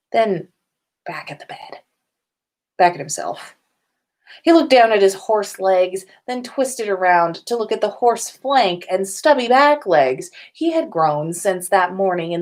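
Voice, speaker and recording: A woman reading comic fan fiction aloud as a podcast host, now and then stumbling and rereading a phrase. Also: mid-range voice.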